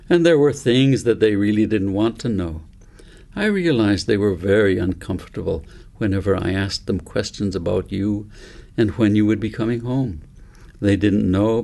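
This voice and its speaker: Storybook part: precocious small girl, earnest and articulate, read by a man in a low, creaky voice. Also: darkish tone. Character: earnest, precocious five-year-old girl recounting dreams. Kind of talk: storybook character reading.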